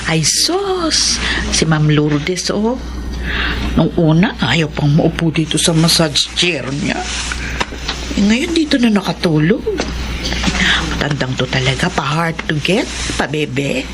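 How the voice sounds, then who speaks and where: A woman's voice: low-pitched, big pitch swings, slow pace, over music, full character voice. A woman playing a cheeky, outspoken housemaid and working mother in a Tagalog radio drama. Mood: mocking. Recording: noisy radio drama scene with background ambience.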